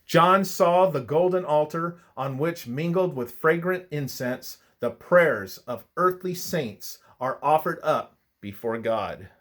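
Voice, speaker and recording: A man reading a scripted religious text aloud in a solemn, deliberate manner, letting his phrases fall at the ends. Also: a little high, expressive, fairly bright tone.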